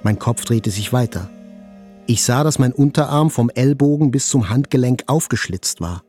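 An actor playing an attack survivor, telling events in a sober, precise, scripted literary narration. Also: male voice, medium pitch, animated, normal speed, dark tone, soft background music, close to an everyday voice. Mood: sober, detached.

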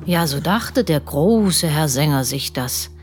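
A woman's voice: low voice, animated, slow, noisy background. An actress playing a wry, disapproving middle-aged wife and mother who narrates a family radio play in a dry, mocking way. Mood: wry storytelling.

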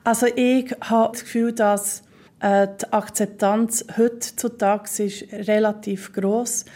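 A woman's voice: mid-range voice, little pitch movement, slow pace, warm tone. A woman in her forties with a Swiss German accent who stutters, repeating sounds as she talks about herself in an interview. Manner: stutters, with repeated syllables and word blocks. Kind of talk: personal interview.

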